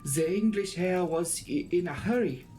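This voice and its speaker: A man's voice: sits high, animated, normal speed, warm tone, faint music behind, pitched above the performer's own voice. Man acting a grim, emphatic coach driver. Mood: nervous stammer. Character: grim, gruff male coach driver, warning and evasive. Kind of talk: dramatic audiobook reading.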